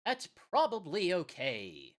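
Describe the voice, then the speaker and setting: A woman's voice: on the low side, wildly swooping pitch, slow pace. A woman hosting a casual livestream, half talking to herself as she works through things and half chatting to viewers. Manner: muttering to self while troubleshooting. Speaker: livestream host.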